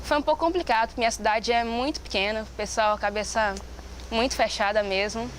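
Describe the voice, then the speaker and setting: A woman's voice: on the high side, a little fast. A woman, a student telling her story in a plain, matter-of-fact way. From Minas Gerais, Brazil. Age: 20s. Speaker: student interviewee. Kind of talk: personal testimony. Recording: noisy background ambience.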